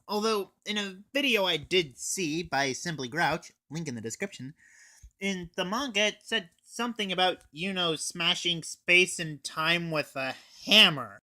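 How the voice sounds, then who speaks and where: A man's voice: high-pitched, pushed and bright. A young man giving a casual, scripted anime review, talking informally and swearing now and then. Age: teens or young adult. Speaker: video reviewer.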